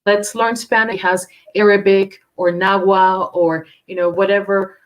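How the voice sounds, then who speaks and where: A woman's voice: medium pitch, little pitch movement, unhurried, highs cut off. A woman on a panel, speaking over a video call and explaining things at length in a lecture-like way. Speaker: panel guest. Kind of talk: casual panel chat.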